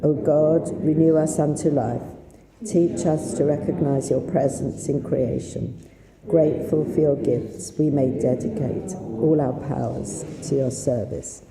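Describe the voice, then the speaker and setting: A woman with a slightly husky voice, leading a synagogue service in a chatty, unhurried way with fillers. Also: sits low, steady tone, a crowd in the background. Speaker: service leader. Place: synagogue sanctuary. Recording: roomy sanctuary sound with faint noise.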